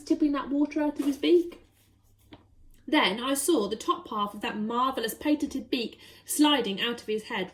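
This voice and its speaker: Lady reading a kids story, high voice, super animated, leans on words for drama. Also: poor audio. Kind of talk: expressive children's story narration.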